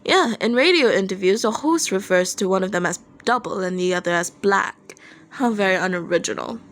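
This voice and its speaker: A woman's voice: mid-range voice, expressive, brisk, lightly acted. A woman playing a brash, nosy young male bandmate, blunt and casual, in an audio story. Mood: unimpressed.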